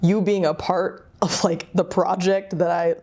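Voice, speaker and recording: Young woman, lower-pitched, chatting effusively. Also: flat delivery, measured pace, darkish tone. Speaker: interviewer and project co-creator. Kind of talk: casual interview chat.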